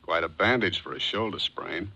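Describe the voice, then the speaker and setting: An American actor in a 1940s radio drama playing a cool, wisecracking private detective, dry, clipped and sardonic. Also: male voice, sits low, expressive, moderate pace, pushed and bright, highs cut off, heavily acted. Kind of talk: 1940s radio acting with first-person narration. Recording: old 1940s radio recording.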